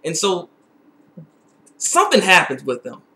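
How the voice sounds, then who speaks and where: A young man giving a casual, excitable episode recap, repeating phrases for emphasis. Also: sits high, measured pace, heavy background noise. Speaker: TV episode reviewer. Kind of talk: casual video recap and review.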